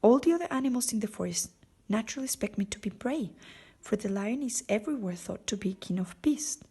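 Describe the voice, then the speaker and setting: Woman voicing a big cowardly storybook lion, husky. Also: mid-range voice, lively intonation, brisk, dark tone. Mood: sad, self-pitying. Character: big cowardly male lion, sad and ashamed. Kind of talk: storybook character voice.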